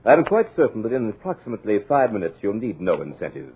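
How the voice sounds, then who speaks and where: A cultured villain with a husky, low voice, his speech swooping between silky calm and sudden threat. His mocking manner stays measured and polite. He comes through an old radio play recording. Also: exaggerated voice, rough recording. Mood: menacing. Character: sinister, cultured male villain holding a writer captive. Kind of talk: 1950s radio drama acting.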